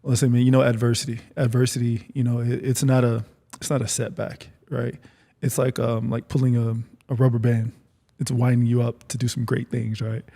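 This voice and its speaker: Guy hosting a podcast, gravelly fry in his voice, chatty casual interview style. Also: mid-range voice, level tone, brisk, dark tone. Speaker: podcast host.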